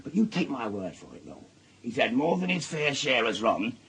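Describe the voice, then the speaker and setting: A man's voice: mid-range voice, big pitch swings, moderate pace, vocal fry, fairly bright tone, breathy edge, exaggerated voice. A man playing a jealous, suspicious working-class husband in a radio drama, with a Northern English accent and a grumbling, insistent manner. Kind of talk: naturalistic radio drama acting.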